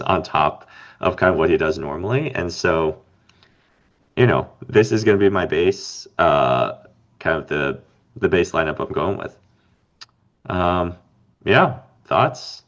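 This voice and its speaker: A man co-hosting a casual fantasy game podcast, slightly raspy from being under the weather, rambling and thinking aloud with lots of fillers. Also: sits low, expressive, a little slow, dull audio. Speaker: podcast co-host.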